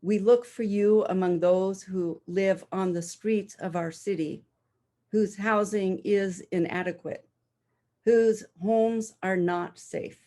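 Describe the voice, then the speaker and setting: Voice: mid-range voice, fairly even intonation, takes it slowly, dark tone. A person reading a prayer poem aloud in a worship service over a video call from home. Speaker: worship reader.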